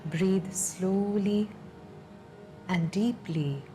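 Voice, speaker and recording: A woman leading a guided meditation in a calm, soothing, measured way, leaving long pauses between phrases. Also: mid-range voice. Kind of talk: slow guided meditation narration. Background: background music.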